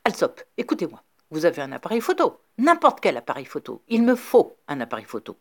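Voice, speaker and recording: Frantic male reporter, shrill and shouting, lively swoops, read by a woman. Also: brisk, breathy edge, heavily acted. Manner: shrill, shouting, breathless urgency.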